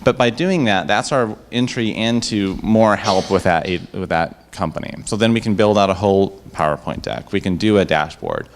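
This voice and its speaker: A man pitching his startup to a live audience and then answering their questions on a handheld mic. He talks with upbeat enthusiasm and often restarts his sentences. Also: moderate pace, few pauses, slight background noise. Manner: enthusiastic, upbeat salesman patter with frequent restarts. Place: event room before a live audience. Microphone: handheld microphone.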